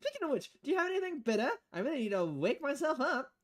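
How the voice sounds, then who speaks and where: Woman putting on a high, bubbly young idol singer. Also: fast talker. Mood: cheerful. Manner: chirpy, animated, theatrical. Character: bubbly perky young female idol singer, showy and eager.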